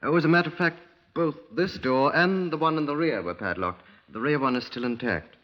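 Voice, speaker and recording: An actor playing a smart, deferential young British detective sergeant in 1950s radio drama, very proper and eager to please. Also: male voice, on the high side, brisk, close to an everyday voice. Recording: dull lo-fi old radio recording.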